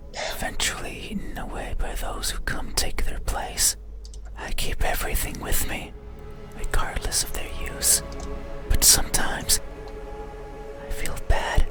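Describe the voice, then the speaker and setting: A man playing an eerie midnight monster in a horror game, speaking poetically in a breathy whisper. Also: lightly acted. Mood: eerie, calm. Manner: slow, deliberate, poetic. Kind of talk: horror visual novel monologue.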